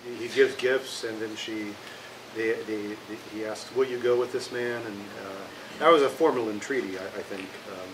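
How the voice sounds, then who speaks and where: A man in a study group, speaking haltingly with many restarts as he works out his point. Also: on the low side. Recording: distant from the mic with room noise.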